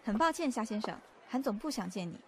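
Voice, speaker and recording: A woman's voice: fairly high pitch, lively intonation, fast talker. A woman playing a composed, firm secretary in an audio drama, speaking politely and formally. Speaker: voice actor in an amateur audio drama. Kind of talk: acted audio drama dialogue. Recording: faint ambient noise.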